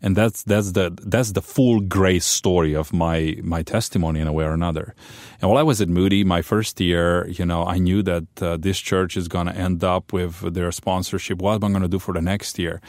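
A middle-aged man from Romania with a Romanian accent, a pastor telling his story loudly and with animation in a radio interview. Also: low-pitched, fairly even intonation, fairly quick, no room sound, clean studio sound. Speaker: pastor, guest.

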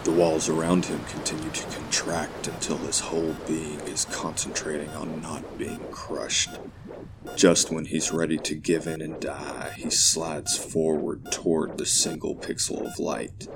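Very deep male horror narrator over music. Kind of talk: scripted horror story narration.

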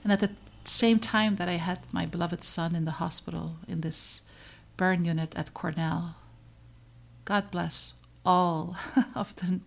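A middle-aged woman from the Netherlands hosts her own podcast, speaking slowly and deliberately with a low-set voice. Her tone is earnest and reflective, and a gravelly fry runs through it as she lets pauses land. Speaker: podcast host, pediatrician. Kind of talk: scripted personal podcast narration.

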